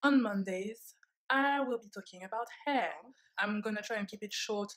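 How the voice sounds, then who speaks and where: A young woman with a French accent talks straight into a close camera from her sofa at home. Her delivery is candid and reflective, with many small fillers. Her pitch sits in a middle range. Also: normal speed, fairly bright tone. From France. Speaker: vlogger. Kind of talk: casual talk to camera. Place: living room, seated on a leather sofa.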